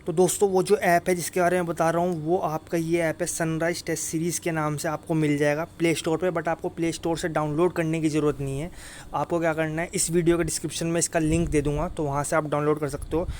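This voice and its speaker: A man's voice: on the high side, little pitch movement, fast talker, a little mellow, slight background noise. A man giving an informal exam update to students in an insistent, repetitive way. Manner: repetitive, insistent, often tags phrases with "okay". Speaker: exam-news YouTuber and coach.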